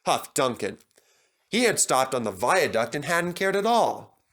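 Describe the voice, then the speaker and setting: Expressive male storybook narrator, animated pitch. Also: unhurried. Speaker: story reader.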